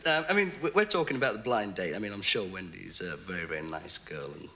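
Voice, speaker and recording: A man's voice: deep voice, expressive, fast, gravelly, sharp tone. A young man with a Yorkshire accent recapping a date as a game show contestant, rambling in a wry, sarcastic way. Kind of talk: recorded date recap interview. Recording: noisy 1980s TV recording.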